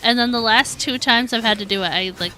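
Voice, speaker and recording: A woman's voice: a little high, a little fast, sharp tone, a little hiss or hum. A woman game streamer giving chatty, informal, self-deprecating live commentary as she plays. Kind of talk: casual live gameplay commentary.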